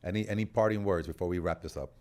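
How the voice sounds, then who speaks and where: A man's voice: low voice, racing, darkish tone. A man hosting a casual conversational interview, talking in a rambling, informal way with occasional asides. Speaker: podcast host interviewing guests.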